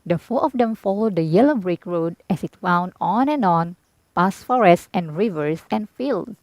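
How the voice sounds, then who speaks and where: A woman reading a children's storybook aloud as expressive scripted narration. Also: fairly low pitch, moderate pace, no echo.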